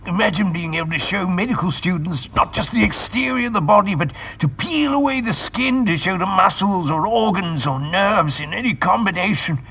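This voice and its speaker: A man's voice: high-pitched, lively intonation, normal speed, hardly any pauses, pushed and bright, slightly rough, heavily acted. A man playing a genial, enthusiastic retired aristocrat scientist in an audio drama.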